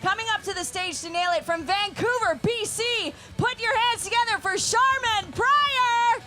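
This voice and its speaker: A woman's voice: very high voice, normal speed, over loud music. A woman announcing a live competition, urging the crowd to cheer. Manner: hyping the crowd. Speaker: arena announcer.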